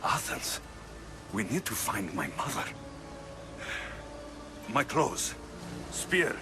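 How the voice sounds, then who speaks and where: A man's voice: lowish voice, very animated, takes it slowly, over music. A man playing a headstrong young Greek warrior in a video game, blunt, intense and protective of his family. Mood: urgent. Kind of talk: video game cinematic acting.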